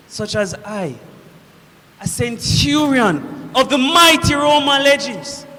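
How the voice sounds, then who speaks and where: A very high, bright voice rings through a long hall reverb. It is a proud Roman centurion, played by a man on stage, commanding and declamatory, yet shaken by guilt and dread. He speaks in slow, weighted phrases with dramatic pauses. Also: full character voice. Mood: awed, shaken. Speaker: actor performing a dramatic monologue. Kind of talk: theatrical church monologue.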